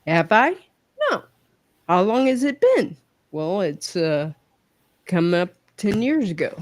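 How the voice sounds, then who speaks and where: Lively woman in her forties, animated, voices her own inner talk. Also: fairly low pitch, slow. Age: 40s. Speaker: morning show host on a panel. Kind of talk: casual panel chat.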